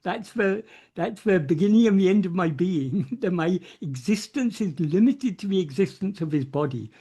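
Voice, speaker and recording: A man speaks as a spiritual teacher in a soft, husky and mellow voice. He explains philosophy off the cuff, often circling back to repeat a phrase and stressing key words earnestly. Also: high-pitched, lively intonation, normal speed. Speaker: spiritual teacher answering questions. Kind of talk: unscripted philosophical discourse in an online meeting. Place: online video meeting.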